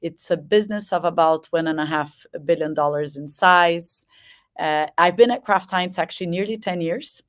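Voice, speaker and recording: A woman in her forties from Brazil, a company president introducing herself as a webinar guest, speaking in a measured way with frequent fillers. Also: lowish voice. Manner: measured, with many uh and um fillers and repeated words.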